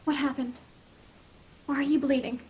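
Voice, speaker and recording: High-voiced woman as an earnest older girl. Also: little pitch movement, normal speed, darkish tone, close to an everyday voice. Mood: alarmed concern. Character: Wendy, earnest older girl leading stranded children in space. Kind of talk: table read of a science fiction script.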